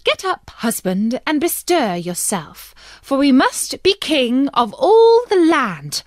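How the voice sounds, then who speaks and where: A woman's voice: high voice, big pitch swings, takes it slowly, a little mellow, no room sound, studio quality, voice pushed up, full character voice. A woman voicing a greedy, insistent fisherman's wife in a fairy tale, commanding and dismissive. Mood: imperious.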